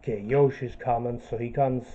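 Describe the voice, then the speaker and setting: A man's voice: mid-range voice, steady tone, normal speed, dark tone, lo-fi sound, slight background noise. A young man getting over a cold, rambling through casual let's play commentary with plenty of ums and repeated words. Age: young adult. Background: game music.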